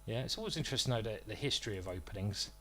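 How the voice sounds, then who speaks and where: A man's voice: on the low side, animated, rapid-fire, a bit bright. Self-mocking man commentating live chess. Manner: self-deprecating, joking, thinking aloud about moves. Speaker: chess streamer commentating his own games.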